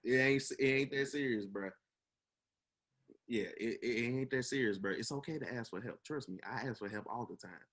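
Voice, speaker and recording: A man's voice: medium pitch, brisk, fairly bright tone. A man in his twenties with a Memphis Southern accent, hosting a casual podcast chat in an earnest, encouraging, slangy way. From Memphis, United States. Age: 20s. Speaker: podcast host.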